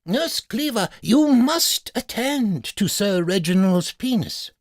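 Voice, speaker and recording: A man's voice: sits high, very animated, slow pace, no echo, studio quality, pitched above the performer's own voice, full character voice. A man voicing a pompous, exasperated doctor in a farcical radio story, commanding and theatrically emphatic. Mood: indignant, commanding.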